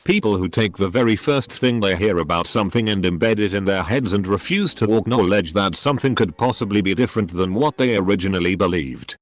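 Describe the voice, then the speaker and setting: A man narrating, reading online posts aloud in an even, matter-of-fact way over faint background music. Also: fairly low pitch, a little fast, few pauses, dry recording.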